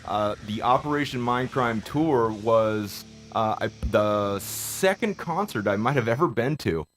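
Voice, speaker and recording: A man's voice: mid-range voice, animated, unhurried, a little hiss or hum. Laid-back male radio co-host. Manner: laid-back, laughs easily, stammers. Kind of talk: casual interview chat.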